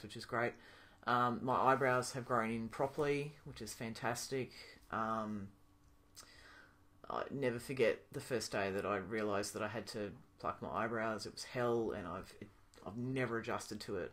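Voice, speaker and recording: A young man with a slightly low voice talks through a personal video diary. He thinks aloud, filling his pauses with ums and often restarting his sentences. The sound comes from a simple camera mic. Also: fairly bright tone. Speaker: vlogger.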